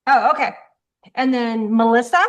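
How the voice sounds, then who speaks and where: Higher-voiced woman moderating a call. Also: moderate pace. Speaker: meeting moderator. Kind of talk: casual meeting moderation. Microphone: video call.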